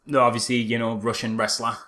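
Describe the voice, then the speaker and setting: A man's voice: medium pitch, level tone, quick pace, pushed and bright. Sarcastic man chatting about films. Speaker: podcast co-host. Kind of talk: casual film critique chat.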